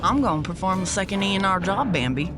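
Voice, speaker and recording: A woman's voice: medium pitch, animated, fast talker, background noise, close to an everyday voice. Woman acting a brazen, sardonic exorcist woman. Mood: menacing, smug. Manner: folksy, sardonic, drawling phrasing. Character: sassy, brazen woman exorcist, tough and foul-mouthed. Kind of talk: audio drama acting. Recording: music behind the voice.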